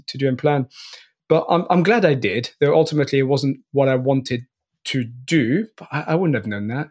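Guy in his 40s, from france, kinda french-irish accent, laid back chat, stumbles and laughs a bit. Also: mid-range voice. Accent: mixed French and Northern Irish. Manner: relaxed, frequent restarts and small laughs. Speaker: guest. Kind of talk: casual interview chat.

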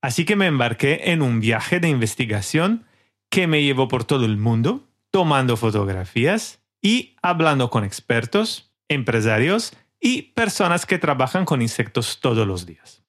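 An Italian man, speaking with his accent, reads a calm, reflective podcast introduction. His voice sits at a middle pitch and he takes his time between phrases. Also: moderate pace. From Italy. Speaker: podcast host. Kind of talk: scripted podcast introduction and interview.

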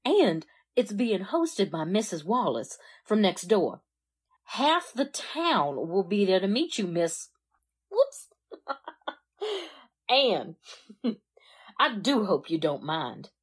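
A woman's voice: mid-range voice, sing-song, takes it slowly, pauses often, husky, heavily acted. A woman acting a chatty, flustered, homey small-town mother in a 1940s-style radio drama. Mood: chatty excited. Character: fussy, starstruck small-town mother and hostess.

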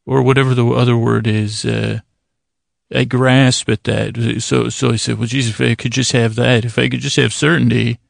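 Very creaky, low-key rambling man. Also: dry recording, studio quality. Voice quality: creaky, gravelly. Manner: meandering, halting, tangent-prone delivery with self-interruptions. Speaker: podcast host telling bedtime stories. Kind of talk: rambling sleep-podcast monologue.

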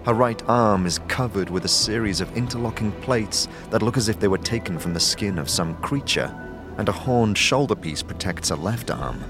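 A man narrating an audio drama in the second person, measured and descriptive, over a music bed. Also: on the low side, expressive.

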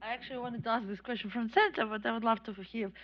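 A woman's voice: on the high side, steady tone, fast. A woman with a Russian accent asking a panel a question from the audience, earnest and rambling. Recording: dull lo-fi audio.

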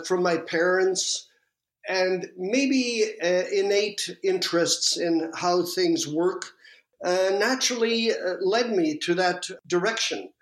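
An older man from Sweden, raised in Canada, talking slowly as an interview guest with many pauses. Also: high voice, echoey room. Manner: measured, with frequent pauses and uhs. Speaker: guest, industrial designer. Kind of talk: reflective interview talk.

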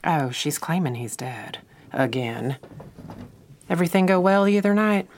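An actress playing a blunt, superstitious folk healer with a Southern US accent in an old-time radio style drama. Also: female voice, lowish voice, expressive, normal speed, a little hiss or hum, lightly acted. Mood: wry, brisk. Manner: blunt, bossy, folksy.

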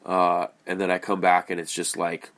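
A man's voice: low-pitched, monotone, moderate pace, fairly bright tone. A man with a stuffy, congested voice hosting his podcast in a rambling, casual way, full of fillers and restarts. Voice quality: nasal, stuffy. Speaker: podcast host. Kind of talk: casual solo podcast monologue.

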